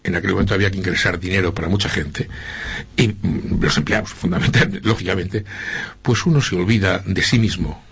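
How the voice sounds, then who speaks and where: A man's voice: sits low, brisk, creaky, breathy edge. A middle-aged man from Spain with a slight Argentine lilt, answering interview questions earnestly with many hesitations and repeats. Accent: Spanish, with a slight Argentine lilt. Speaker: local politician interviewee. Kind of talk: interview conversation.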